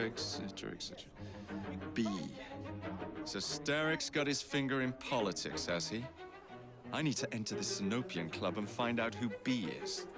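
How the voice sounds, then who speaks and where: An actor playing a flippant, headstrong young Victorian rogue with a wry, offhand delivery. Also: male voice, medium pitch, close to an everyday voice. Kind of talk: video game cutscene acting. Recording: music behind the voice.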